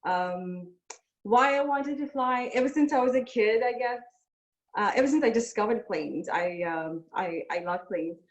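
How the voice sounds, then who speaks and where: A woman's voice: mid-range voice, unhurried, highs cut off. A woman flight instructor talking hesitantly over a video call, with many fillers. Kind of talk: casual chat.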